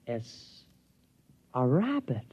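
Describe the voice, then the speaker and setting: An actor playing an excitable, naive vacationing hunter with an earnest comic manner, in a dull-sounding 1950s radio comedy. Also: male voice, mid-range voice, very slow, husky, exaggerated voice. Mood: puzzled, earnest. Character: excitable, naive, whimsical man on a hunting vacation. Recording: dull, dry vintage radio recording.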